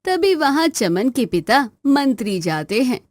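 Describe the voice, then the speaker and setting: A woman's voice: high voice, big pitch swings, a little slow, no room sound. A woman narrating a scripted children's story.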